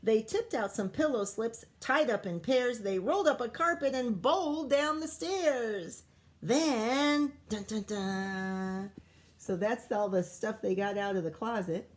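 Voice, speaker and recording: Primary teacher, female, slightly high voice, very measured pace; expressive children's read-aloud with coaxing asides. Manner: expressive, sing-song story reading, coaxing questions to young listeners. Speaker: primary school teacher reading aloud to pupils.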